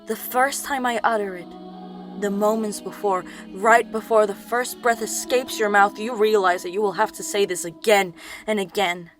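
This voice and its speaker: An actress playing an anguished, defiant mythical Cretan woman in a poetic audio drama, with intense, deliberate delivery. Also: mid-range voice, fairly even intonation, soft background music, lightly acted. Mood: hurt, bitter.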